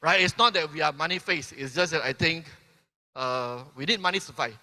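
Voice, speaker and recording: Brief: Malaysian-born man, 50s, bright voice, convention stage with long hall reverb, keeps checking in with "right?". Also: a little high, a little fast. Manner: peppers sentences with "right?", asks the crowd questions. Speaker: keynote speaker. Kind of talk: motivational convention speech.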